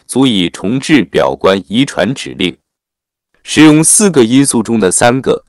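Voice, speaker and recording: A man narrating a written article in a formal, measured read-aloud manner. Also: fairly low pitch, no room sound, studio quality.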